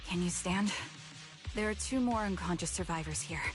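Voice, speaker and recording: An actress voicing a calm, solemn young woman in a video game, speaking tersely. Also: fairly low pitch, normal speed, soft background music, natural voice. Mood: composed. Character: calm young woman with troubled, useful eyes. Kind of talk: game voice acting.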